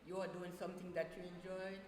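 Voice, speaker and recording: Older Haitian woman in an echoey room. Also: fairly low pitch. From Port-au-Prince, Haiti. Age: 60s. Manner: animated, quick to laugh. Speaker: interview guest, retired school counselor and teacher. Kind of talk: casual storytelling interview. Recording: echoey reverberant room with noise.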